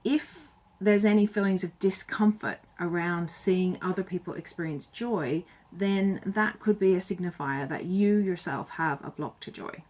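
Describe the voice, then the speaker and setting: Earnest chatty woman, lowish voice. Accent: none Irish. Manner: earnest, chatty and reflective, with some fillers. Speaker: self-styled joy bringer. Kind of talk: talking to camera with tips.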